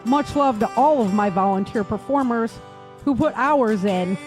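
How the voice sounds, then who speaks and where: A woman's voice: a little high, moderate pace, darkish tone, faint music behind. A woman hosting a podcast as its author, speaking in an informal, conversational style. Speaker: podcast host and audio drama author. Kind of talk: casual podcast chat.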